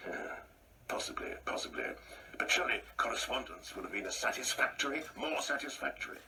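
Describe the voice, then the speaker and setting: Proud insecure old guy, radio play monologue, really sharp forceful voice, pompous, bit far from the mic w faint noise. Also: mid-range voice. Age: older. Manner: pompous, precise, theatrical, with insistent repetitions. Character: proud, insecure ageing man, bitterly reminiscing and taunting.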